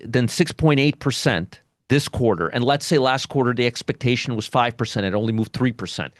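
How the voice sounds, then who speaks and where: A man's voice: mid-range voice, no echo. Man, options trading educator, live webinar host, dry close mic, repeats points, keeps checking listeners follow.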